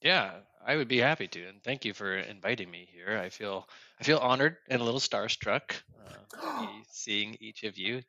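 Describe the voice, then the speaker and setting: A man's voice: lowish voice, animated, normal speed, creaky, pushed and bright, no echo. A man who is a podcast guest, speaking earnestly with self-deprecating humor over a video call. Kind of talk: casual podcast chat.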